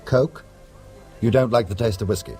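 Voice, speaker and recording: An actor playing a hapless, polite small-time rogue in a radio drama, with a hesitant, flustered manner. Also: male voice, on the low side, normal speed, darkish tone, soft background music, close to an everyday voice. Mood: politely impatient.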